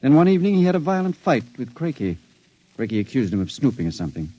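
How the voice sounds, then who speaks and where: Mellow, guarded intellectual patient. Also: male voice, mid-range voice, sing-song, fast, dry recording, highs cut off. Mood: hushed, confiding. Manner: dry, careful, educated. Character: intelligent, sensitive, guarded male addict, former professor. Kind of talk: 1950s radio drama acting.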